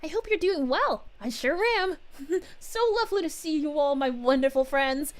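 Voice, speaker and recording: A woman's voice: sits high, moderate pace. A woman streaming as a cheerful princess character, greeting her chat in a bubbly, giggly, sing-song voice.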